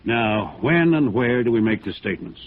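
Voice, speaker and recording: Tinny noisy old radio, actor as wary american construction boss, blunt small town talk, kinda guarded. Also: male voice, medium pitch, animated, moderate pace, close to an everyday voice. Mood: wary, frank. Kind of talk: 1950s American radio drama acting.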